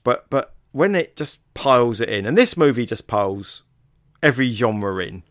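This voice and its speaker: A man's voice: medium pitch, animated, moderate pace, fairly bright tone, no echo, clean studio sound. Man, hesitant but opinionated chat. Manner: hesitant, repeats words, opinionated. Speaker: podcast co-host. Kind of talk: casual film discussion chat.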